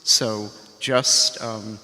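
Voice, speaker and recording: Male professor, very slow delivery, many pauses, long hall reverb. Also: on the low side, steady tone, pushed and bright. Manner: halting, with many fillers. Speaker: professor, faculty mentor. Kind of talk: ceremony speech. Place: library ceremony hall.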